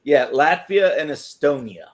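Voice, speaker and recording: A man's voice: a little high, lively intonation, a little slow. A man chatting on a livestream, hesitant, full of fillers and trailing off. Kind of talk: casual chat.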